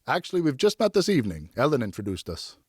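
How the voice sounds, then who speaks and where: Man acting a courteous, smitten French gentleman, raised pitch. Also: huge pitch swings, fast, slightly rough, no echo, clean studio sound. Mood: polite, earnest. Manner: formal, earnest, slightly flustered. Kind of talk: audiobook character dialogue.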